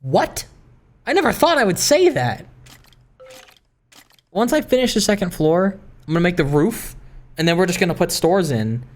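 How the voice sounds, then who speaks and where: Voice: mid-range voice, big pitch swings, normal speed, long pauses, a little mellow. A gaming video host giving a casual, introspective monologue, rambling and reflective, often circling back to restate a point.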